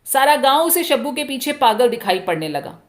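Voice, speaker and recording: A woman's voice: high voice, big pitch swings, moderate pace. A woman narrating a short story expressively from a script, as a storyteller.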